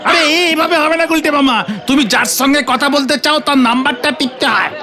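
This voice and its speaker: A woman's voice: high voice, fairly quick, bright, forceful tone, some chatter behind. A woman voicing a sensible, exasperated young sidekick nephew in a children's cartoon, explaining things in exclamations. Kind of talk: comic children's cartoon acting. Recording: music behind the voice.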